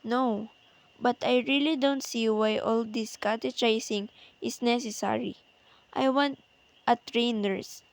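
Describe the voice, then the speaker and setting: A woman's voice: a little high, steady tone, a little slow, dry recording. A woman playing a nervous, demanding, frightened invalid alone at home in a radio play, anxious and insistent.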